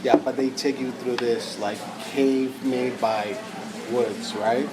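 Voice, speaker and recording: A man's voice: medium pitch, steady tone, takes it slowly. Laid-back Puerto Rican man, crowd behind. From Puerto Rico. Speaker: podcast co-host. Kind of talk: casual chat.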